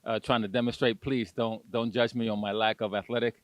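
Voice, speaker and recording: Halting middle-aged coach, dry sound. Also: male voice, medium pitch, little pitch movement, no room sound. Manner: halting, many fillers and restarts, self-deprecating. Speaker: head basketball coach. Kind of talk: interview answers.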